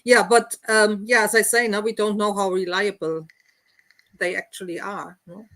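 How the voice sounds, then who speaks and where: A woman's voice: medium pitch, flat delivery, normal speed, a bit bright. A woman discussing books casually, often checking her points with short tag questions. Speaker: host of a book read-along discussion.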